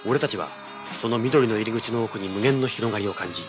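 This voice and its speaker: An actor playing a cocky, tough young treasure hunter, rough-spoken and sardonic, narrating and speaking in a hard-boiled audio drama. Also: male voice, lowish voice, a little fast, lightly acted. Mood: tense, grim. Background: dramatic music.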